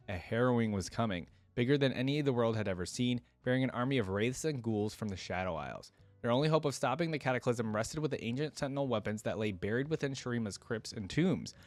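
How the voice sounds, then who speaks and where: A man's voice: medium pitch, no room sound. A man hosting a reaction channel, speaking casually to viewers as he comments and reads story passages aloud. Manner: casual, addresses viewers directly. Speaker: host of a game lore reaction channel.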